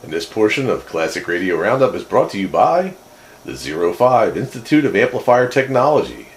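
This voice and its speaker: A man's voice: on the low side, very animated, a little fast, slight background noise. A man chatting casually as host of a hobby radio roundup, rambling and often repeating his words.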